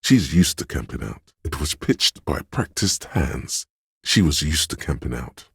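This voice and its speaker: Super deep husky hustler voice in a detective's head, suave n measured, video game narration, dry close sound. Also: male voice, lively intonation, fairly bright tone, no room sound, exaggerated voice. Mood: measured, observant. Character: slick hustling inner voice of a detective, smugly money-obsessed.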